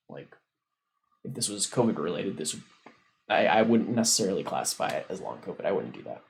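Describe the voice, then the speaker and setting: A man hosting a science livestream, casually explaining a research paper as he reads, in a halting, informal way. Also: fairly low pitch, rough recording. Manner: halting, informal, thinking aloud. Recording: noticeable background noise on livestream.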